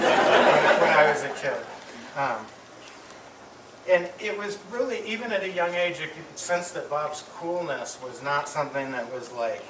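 A middle-aged man giving an affectionate eulogy to an audience, speaking haltingly with pauses and repeated words. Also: mid-range voice. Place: medium-sized indoor hall with audience. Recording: distant mic, echoey room, clear background noise.

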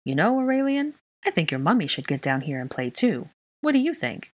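A playful young father from a storybook, voiced by a woman. He has a husky edge and a teasing, smirking way of gently goading. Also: low voice, expressive, fairly quick.

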